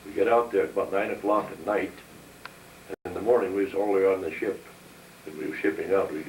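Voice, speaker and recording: Elderly man, low voice, plain-spoken oral history, echoey room. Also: a little mellow. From North Dakota, United States. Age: 70s or older. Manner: plain-spoken, matter-of-fact storytelling. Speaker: interviewee, war veteran. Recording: roomy, distant microphone, background hiss.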